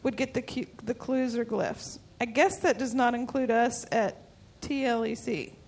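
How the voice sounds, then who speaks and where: A woman's voice: on the high side, normal speed, slight background noise. A woman co-hosting a casual podcast, joining in with playful, teasing banter. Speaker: podcast co-host.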